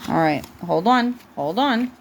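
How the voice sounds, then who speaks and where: A woman's voice: medium pitch, expressive, a little slow, creaky, slight background noise, low-quality recording. A woman reading a storybook aloud as a mother to her young child, often breaking off to correct the child. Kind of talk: storybook read aloud at home, with asides to a child.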